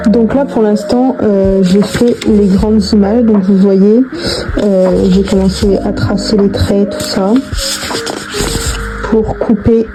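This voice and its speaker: A woman's voice: medium pitch, steady tone, takes it slowly, low-quality recording. A woman chatting informally to viewers as she does a craft, hesitant and often repeating herself or trailing off. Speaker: video host doing a craft tutorial. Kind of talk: casual chat to viewers while crafting. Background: background music.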